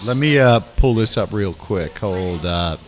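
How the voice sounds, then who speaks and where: Chatty Canadian-raised man hosting. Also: lowish voice. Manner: animated, swears casually, lots of quick "okay, okay" agreement. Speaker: host. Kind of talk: casual chat.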